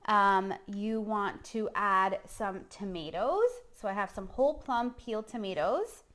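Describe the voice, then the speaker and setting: A middle-aged woman from Puerto Rico hosts a casual live cooking demo. She speaks at a medium pitch in a chatty, informal way. She addresses her viewers directly and often tags her sentences with a checking "okay?". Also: expressive, a little slow, fairly bright tone. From San Juan, Puerto Rico. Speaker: chef hosting a cooking show.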